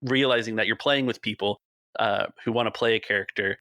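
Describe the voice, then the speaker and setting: A man's voice: fairly low pitch, steady tone, a little fast, sharp tone, no room sound. A man who runs a podcast game, rambling through his answer with restarts on a panel. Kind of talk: casual panel discussion.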